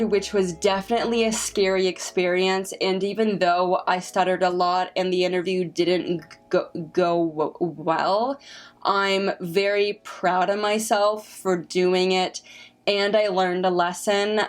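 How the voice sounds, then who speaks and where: A woman in her twenties with a stutter, talking casually and reflectively to camera as a vlogger, with frequent repeated sounds and restarts. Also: medium pitch. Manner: slow, reflective and encouraging.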